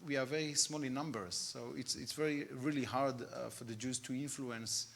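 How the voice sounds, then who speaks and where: A man's voice: medium pitch, steady tone, moderate pace, creaky, a bit bright. A man who is not a native English speaker, giving unscripted remarks and searching for words.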